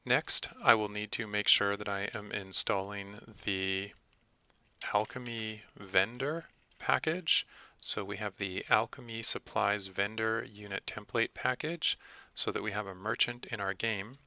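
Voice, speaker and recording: A man narrating a software tutorial as its presenter, methodically explaining things step by step. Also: lowish voice, unhurried, clear, resonant tone. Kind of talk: instructional screencast narration.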